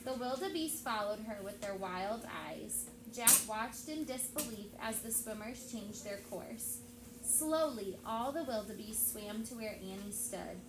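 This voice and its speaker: A woman with a fairly high voice reads aloud like a teacher telling a story to children. Her delivery is expressive. The room echoes noticeably, with clear noise behind her. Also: a little slow. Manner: expressive, acts out dialogue.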